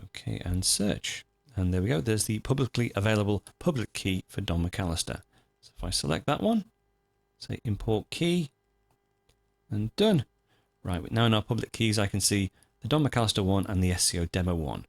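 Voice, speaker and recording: A man presenting a software tutorial, talking informally and methodically through each step as he goes. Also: fairly low pitch, lively intonation, normal speed, gravelly, dry recording. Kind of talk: screencast tutorial, unscripted step-by-step narration.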